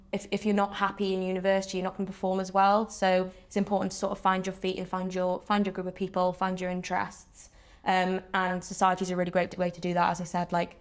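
Flat-voiced young woman. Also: medium pitch. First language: Welsh. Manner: even, steady, with many "sort of" fillers. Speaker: students' union officer, guest. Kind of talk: casual interview answers.

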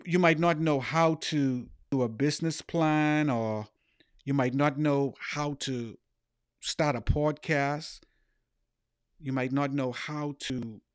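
A man's voice: medium pitch, no room sound, studio quality. A middle-aged man with a Bahamian accent giving an earnest, emphatic motivational talk as a podcast host and life coach, repeating key phrases.

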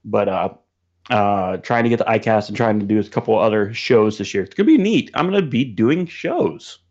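A man's voice: lowish voice, animated, moderate pace, darkish tone. A man chatting casually as a podcast guest in a friendly, informal way, over dull livestream audio. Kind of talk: casual chat.

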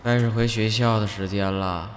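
A man's voice: lowish voice, steady tone, moderate pace, background noise, lightly acted. A young man acting a self-important, theatrical teenage gamer in an audio drama. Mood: smug.

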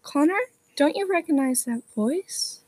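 A woman's voice: high voice, lively intonation, unhurried, darkish tone, slight background noise. A woman playing a bold, curious and slightly bossy schoolgirl in an amateur audio drama. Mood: urgent.

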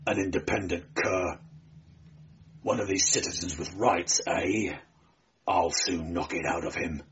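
A man's voice: sits low, steady tone, a little slow, a bit bright, pitched below the performer's own voice. A man voicing a big, bullying police prefect in an audiobook, contemptuous and threatening. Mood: sneering, threatening. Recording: dull, noisy amateur recording.

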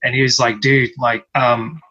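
A man's voice: medium pitch, flat delivery, normal speed, sharp tone, husky, muffled recording. A man from Cameroon hosting a podcast, telling long rambling stories and acting out what people said. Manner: rambling storyteller, many "like" fillers, acts out quotes. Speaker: podcast host. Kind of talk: casual conversation.

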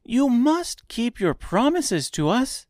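A man's voice: high voice, lively intonation, slow, no room sound, studio quality, voice pushed up. A man voicing an earnest, bold young girl in a storybook tale. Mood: indignant.

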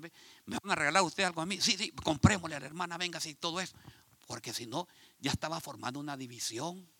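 Animated Ecuadorian pastor, bright voice. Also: male voice, fairly high pitch, gravelly, no room sound. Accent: Ecuadorian Spanish. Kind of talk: sermon. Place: church.

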